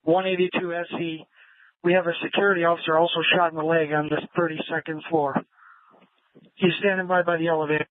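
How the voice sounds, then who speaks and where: A man's voice: on the high side, little pitch movement, quick pace, no room sound, narrow, tinny audio. A male police officer giving a report over a police radio, as heard on a scanner. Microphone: two-way police radio.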